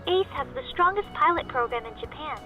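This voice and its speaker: A sweet, bashful teenage schoolgirl in a visual novel game. The voice is extremely high and hesitant, with polite phrasing, slightly muffled under loud music. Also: lively intonation, fairly quick. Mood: matter-of-fact.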